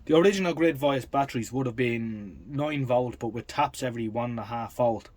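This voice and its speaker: A man's voice: mid-range voice, brisk, darkish tone. Man talking casually through a hands-on demonstration. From Ireland. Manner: technical, matter-of-fact, thinking aloud. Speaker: vintage radio restorer and collector. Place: workshop.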